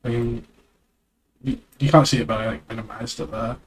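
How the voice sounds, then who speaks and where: A man's voice: medium pitch, steady tone, fairly quick, a little hiss or hum. Man, stuffy nose, live streamer, rambling self-deprecating chat, desk mic. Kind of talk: casual live-stream reading of a webcomic aloud. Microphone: external desk mic.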